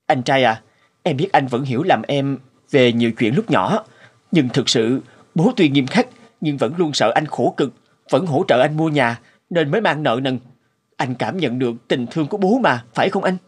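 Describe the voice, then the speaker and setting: A man voicing a dutiful, humble young son in an animated moral tale, speaking politely and earnestly. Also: mid-range voice, normal speed, husky. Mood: pleading. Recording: music under the dialogue.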